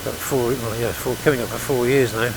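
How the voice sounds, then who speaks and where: An older man with a Welsh accent narrates a motorbike outing through a helmet mic. He talks casually and halts on fillers, with an average-pitched voice. A constant rush of wind and engine noise sits under everything he says. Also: fairly even intonation, gravelly. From Wales. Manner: chatty, grumbling asides, halting with fillers. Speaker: motorbike vlogger. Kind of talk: casual ride commentary.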